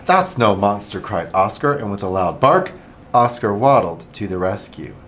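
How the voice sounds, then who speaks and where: A middle-aged man, a school administrator, reading a picture book aloud to children in an animated, expressive way. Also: fairly low pitch, moderate pace, slight background noise.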